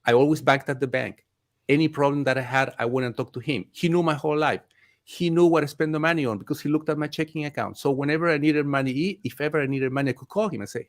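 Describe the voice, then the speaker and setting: A man from Chile, a mortgage expert talking casually as a guest, stressing points by repeating phrases. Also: medium pitch. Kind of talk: casual conversation.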